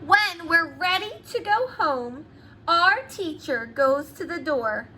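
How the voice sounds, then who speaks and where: A woman preschool teacher giving an animated read-aloud lesson to young children, enunciating words deliberately and asking them questions. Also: extremely high pitch, a little hiss or hum.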